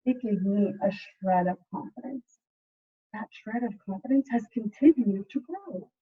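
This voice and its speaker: A woman teacher reading a storybook aloud to students in an animated, chatty way, with casual asides. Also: mid-range voice, unhurried, breathy edge, narrow, tinny audio. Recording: distant mic, thin band-limited sound.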